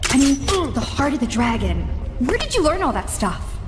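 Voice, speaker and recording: An actress playing an excitable young superhero girl in a game cutscene, bubbly and enthusiastic, with loud music behind. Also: high voice, normal speed. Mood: excited. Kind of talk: video game cutscene voice acting.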